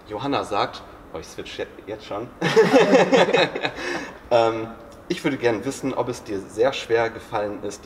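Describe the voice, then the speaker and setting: A man's voice: fairly low pitch, a little hiss or hum. A man with a German accent hosting an interview, asking questions in a friendly, hesitant way with many fillers. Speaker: interviewer, channel host. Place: backstage room at a theatre.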